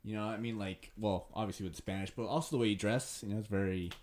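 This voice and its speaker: A man's voice: fairly low pitch, fairly even intonation, fast, a little mellow, slight background noise. A young man hosting a podcast, asking questions in a hesitant, stumbling way with many fillers. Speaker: podcast host. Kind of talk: casual podcast chat.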